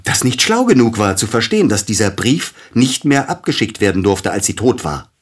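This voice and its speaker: A deep, rough-edged male voice plays a bereaved concert pianist in a radio drama. He is formal and hesitant, brooding over his loss, with jealousy pressing through his courtesy. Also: big pitch swings, normal speed. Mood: agitated, bitter. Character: grieving, jealous widowed male pianist, courteous but probing. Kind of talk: German radio play acting.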